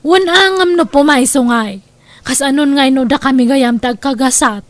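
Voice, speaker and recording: A woman's voice: high-pitched, moderate pace, no echo, close to an everyday voice. A woman with an Ilocano accent playing a reluctant, stubborn young girlfriend in a comedy drama. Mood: wistful. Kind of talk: comedy radio-style drama acting.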